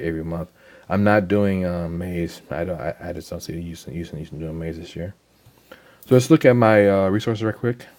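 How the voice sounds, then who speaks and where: Low, dark-voiced young man with a cold. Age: young adult. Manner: rambling, halting, many ums and repeated words. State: hoarse from a cold. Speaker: gaming channel host. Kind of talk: casual game account walkthrough.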